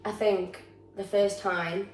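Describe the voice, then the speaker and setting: A woman's voice: medium pitch, little pitch movement, slow. A young woman with a Geordie accent, chatting frankly and casually as a podcast co-host, a drama student. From North East England. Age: young adult. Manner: chatty, frank, swears freely. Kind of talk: casual chat. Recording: echoey room, mic far off, faint noise.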